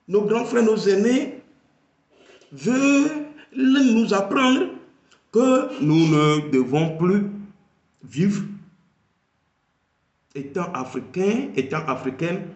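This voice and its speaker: A man analysing a video, speaking slowly and emphatically and repeating key phrases. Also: sits high, darkish tone, echoey room, muffled recording. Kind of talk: political commentary.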